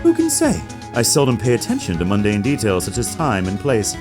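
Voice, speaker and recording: A pompous, wildly swooping company president. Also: male voice, fairly low pitch, huge pitch swings, fairly quick, music in the background. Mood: smug, taunting. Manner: pompous, grandiose, mangles big invented words. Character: pompous, smug male company president, flamboyantly arrogant. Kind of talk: theatrical video game fan dub.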